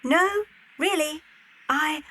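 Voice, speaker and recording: A woman's voice: high-pitched, slow, pushed and bright, a little hiss or hum, heavily acted. A woman playing a polite but decided young lady in a period audio drama, firm though a little hesitant. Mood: politely resistant.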